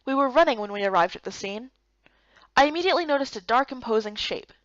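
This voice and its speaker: Highish, animated woman narrating a story. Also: quick pace, no echo, muffled recording. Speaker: narrator and voice actor. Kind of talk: dramatic first-person story narration for a visual novel.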